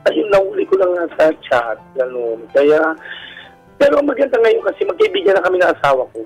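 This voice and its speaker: Husky, effeminate Filipino man telling his story. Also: high-pitched, big pitch swings, a little fast, low-quality recording. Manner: effeminate way of speaking. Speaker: radio show guest telling his life story. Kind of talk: candid personal storytelling in an interview. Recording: radio broadcast with music underneath. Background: background music.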